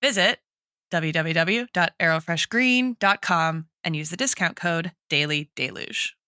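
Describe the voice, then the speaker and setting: Bright-voiced woman reading a spoof ad. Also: lowish voice, lively intonation, a little slow, smooth. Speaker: podcast host reading a spoof sponsor ad. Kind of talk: scripted mock advertisement.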